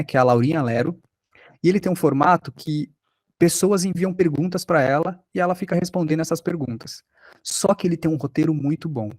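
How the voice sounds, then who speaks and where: A man's voice: mid-range voice, a little mellow, no echo. A man with a Brazilian accent giving an informal online lesson, explaining things as he thinks aloud. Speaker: workshop teacher.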